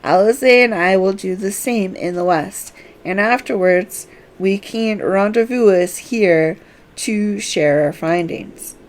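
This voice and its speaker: A woman plays a formal, scholarly young strategist in a fantasy game. The delivery is measured and slow, with carefully articulated wording and a medium pitch. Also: close to an everyday voice. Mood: businesslike.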